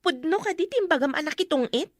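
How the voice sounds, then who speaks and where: A woman's voice: very high voice, animated, a little fast, no room sound. A woman with an Ilocano accent playing an indignant, protective working elder daughter in a radio drama, questioning insistently. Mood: suspicious.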